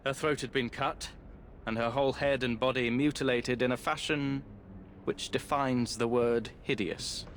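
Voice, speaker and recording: An actor playing a grave, protective Victorian police inspector in a video game, with a British accent and measured speech. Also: male voice, medium pitch, steady tone, slight background noise, natural voice. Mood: sombre. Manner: sombre, measured. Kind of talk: video game cutscene acting.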